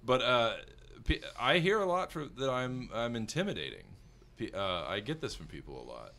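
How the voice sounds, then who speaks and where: A man in his thirties with a big voice, a filmmaker talking through his thoughts in a casual conversation, halting and restarting as he goes. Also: mid-range voice, very animated, vocal fry, pushed and bright. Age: 30s. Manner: rambling, halting, with frequent restarts. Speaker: filmmaker guest.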